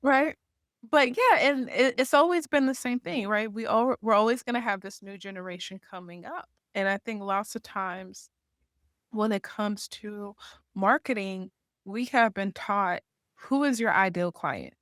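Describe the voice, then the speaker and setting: A woman's voice: medium pitch, unhurried, fairly bright tone, no room sound, studio quality. A woman guest on a podcast interview, explaining her points step by step and checking in with "right?". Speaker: podcast guest, marketing association founder. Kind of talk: conversational interview.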